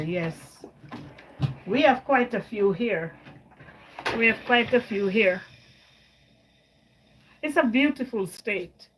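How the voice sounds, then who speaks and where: Slow-talking chatty woman from Jamaica. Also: mid-range voice, poor audio. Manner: chatty, repeats her words, answers viewer comments. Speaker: livestream host. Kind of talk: casual livestream chat with viewers.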